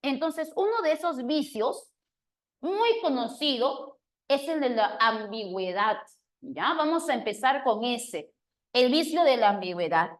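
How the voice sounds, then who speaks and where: A woman's voice: sits high, animated, measured pace. A woman teacher giving an online exam-prep grammar class, explaining step by step and checking in with her students as she goes.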